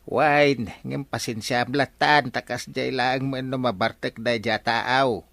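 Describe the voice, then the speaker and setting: A man's voice: mid-range voice, fairly even intonation, slow, creaky, fairly bright tone, no room sound. A man playing a boastful, hot-headed, comical village captain in an Ilocano radio drama, blustering and self-important with a Filipino accent. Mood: defensive. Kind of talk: comedic radio drama acting.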